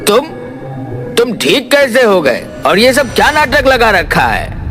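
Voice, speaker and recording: A man's voice: very high voice, very animated, slow, full character voice. Man playing blustering villainous rich merchant, swooping voice. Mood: shocked. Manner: menacing, blustering, insulting. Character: scheming bullying fat rich male village merchant villain. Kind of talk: Hindi animated moral story acting. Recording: music behind the voice.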